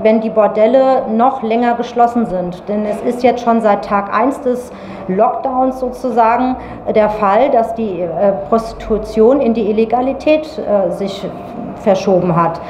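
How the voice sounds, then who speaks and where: A woman's voice: on the high side, unhurried, darkish tone. A middle-aged woman giving a serious spoken statement in an interview. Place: tiled room indoors. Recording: echoey tiled room, faint noise.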